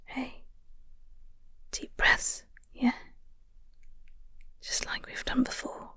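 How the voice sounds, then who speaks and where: A woman playing a flirty, tender English coworker in an intimate audio roleplay, speaking softly and breathily with a gentle, halting, genteel manner. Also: in a whisper. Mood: tender, soothing. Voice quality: soft, breathy. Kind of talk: intimate audio roleplay, soft comforting ASMR acting.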